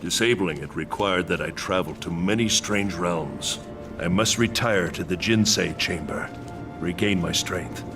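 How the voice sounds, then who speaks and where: A solemn, authoritative guardian lord in a game cinematic. His voice is very deep and his diction formal and stately, over clear background music. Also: unhurried. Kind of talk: video game cinematic acting. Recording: music behind the dialogue.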